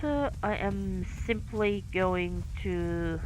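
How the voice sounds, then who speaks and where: A woman from Finland with a light Finnish accent, commentating her own live game stream, halting and filling gaps with fillers as she thinks aloud while playing. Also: mid-range voice, lively intonation, noisy background. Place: home in France.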